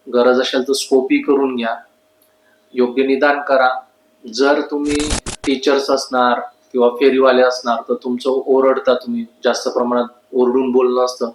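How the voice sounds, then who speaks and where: Even-toned man in an echoey room. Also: medium pitch. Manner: instructive, repeats key points. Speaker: ENT doctor giving health advice. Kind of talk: informal medical explainer to camera.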